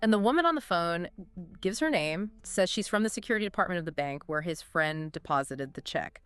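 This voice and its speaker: Smooth, lively female co-host. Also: fairly low pitch, fairly quick, no room sound. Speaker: podcast co-host. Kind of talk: scripted two-host discussion.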